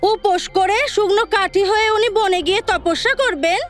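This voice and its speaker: An actress playing a sharp-tongued young princess, a sage's indignant wife, with a shrill voice in a theatrical audio drama. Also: female voice, moderate pace, soft background music. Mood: scornful, indignant.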